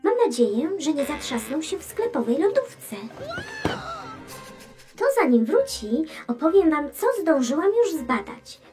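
A woman's voice: high-pitched, very animated, unhurried, darkish tone, faint music behind. An actress playing a chatty, curious female space probe with a wry, teasing manner in a children's educational audio drama. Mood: wry, exasperated. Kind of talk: children's educational radio play.

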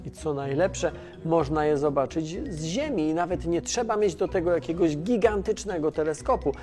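A man's voice: a little high, moderate pace, over music, natural voice. A man playing a caring, easygoing mission controller who chats informally in a children's educational audio drama. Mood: curious. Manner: conversational, hesitant, informal. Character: Tomasz, caring, chatty, easygoing male mission controller on Earth.

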